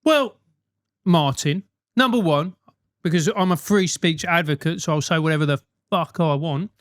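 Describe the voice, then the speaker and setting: South London male host, animated advice rant, loose and profane, dry close mic. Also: on the high side, moderate pace, dry recording. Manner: animated, emphatic, swears freely.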